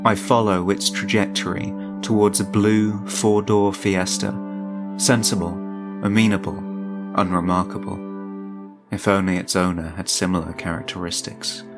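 A man's voice: sits low, level tone, moderate pace. A man reading a scripted first-person horror story as its narrator. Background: music.

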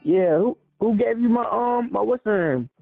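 Over a narrow, tinny phone line comes an American man with a slightly high voice. He speaks informally and with plenty of slang, and the sound is dry, with no room around it. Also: expressive, moderate pace, gravelly, no echo, soft background music. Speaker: music video videographer on a call. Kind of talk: casual phone chat.

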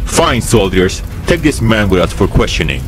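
A man voicing a cruel, coolly curious soldier with a menacing, deliberate manner in a fan dub. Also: lowish voice, sing-song, soft background music. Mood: grudging.

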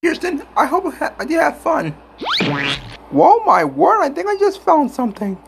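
A woman's voice: sits high, very animated, measured pace, creaky, full character voice. A woman voicing an anxious, caring young friend in a kids' cartoon, on a noisy track. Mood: surprised.

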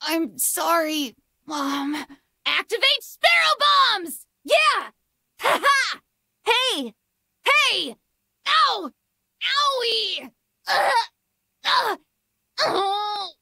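A woman's voice: very high-pitched, big pitch swings, very slow, gravelly, long pauses, sharp tone, dry recording, clean studio sound, full character voice. A woman voicing an eager, plucky young boy puppeteer warrior for a video game, with childlike exclamations. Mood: apologetic. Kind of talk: video game character voice lines.

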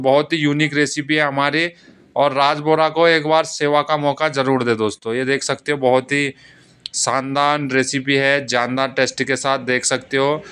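A man's voice: on the high side, fairly bright tone, clear, resonant tone. A man hosting a home cooking video, talking over the footage and eagerly urging viewers to watch as he cooks. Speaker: cooking channel host.

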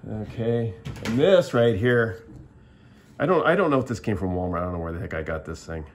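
A man's voice: lowish voice, animated, a little fast, slight background noise. A middle-aged man giving a casual, rambling show-and-tell review at a table, plainspoken and often restarting his sentences. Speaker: product reviewer. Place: indoors at a table, filming the tabletop.

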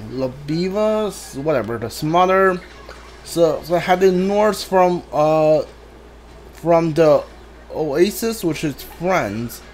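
A man giving casual video game commentary in a halting way, stumbling over his words. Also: sits high, a little hiss or hum. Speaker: gamer streaming a playthrough. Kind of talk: casual gameplay commentary, reading dialogue aloud. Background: game music.

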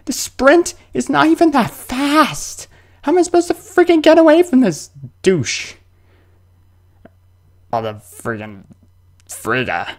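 A man's voice: very high voice, big pitch swings, slow, pauses often. Theatrical man commenting on a horror game. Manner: theatrical, jumpy, muttering to himself and shouting at the game. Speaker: gaming video host.

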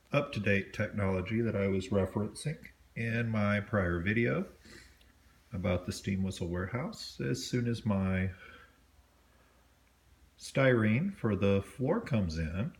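A man with a lowish voice talks through his workbench project in an easygoing, explanatory way. He pauses now and then with a small "uh" or "um". It sounds like an off-camera camera mic at a home hobby bench. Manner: relaxed, explanatory, with small fillers. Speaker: model railroad hobbyist vlogger. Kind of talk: casual show-and-tell narration.

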